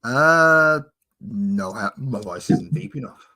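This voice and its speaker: A man's voice: medium pitch, expressive, slow pace, sharp tone. A man hosting a livestream, chatting in a jokey, rambling way with his co-host and viewers. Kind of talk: casual chat while painting.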